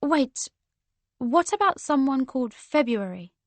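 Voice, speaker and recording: A woman voicing an earnest, nervous teenage girl in an audiobook, speaking in a hesitant, halting way. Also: high voice, very animated, no echo, pitched above the performer's own voice. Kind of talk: character dialogue in an audiobook.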